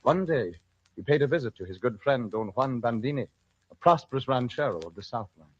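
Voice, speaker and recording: An actor as the formal narrator of an old-time historical radio drama, reading scripted narration. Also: male voice, mid-range voice, expressive, brisk, a little mellow, no room sound, lightly acted. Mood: measured, formal. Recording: muffled old radio recording.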